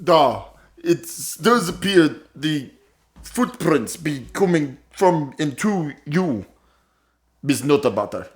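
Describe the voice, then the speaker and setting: A man's voice: on the high side, animated, slow pace. Polite, skeptical investigator played by a man. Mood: hesitant. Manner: hesitant, reasoning, polite. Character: polite, skeptical male investigator confronting a suspect. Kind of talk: improvised comic radio murder mystery.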